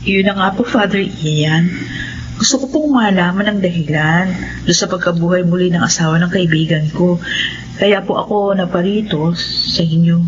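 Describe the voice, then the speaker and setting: A woman's voice: fairly low pitch, moderate pace, close to an everyday voice. A woman acting as a searching, deferential Filipino parishioner, speaking politely in a radio drama. The sound is dull, with faint noise behind her. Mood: respectful, searching. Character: respectful, searching churchgoing woman seeking answers.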